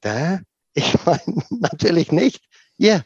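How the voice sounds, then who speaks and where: A middle-aged man from South Africa whose first language is Afrikaans, speaking German with an Afrikaans accent, answering interview questions in an animated way, with many restarts and fillers and the odd English phrase. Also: high voice, slow pace, slightly rough, no room sound, highs cut off. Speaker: tour operator guest.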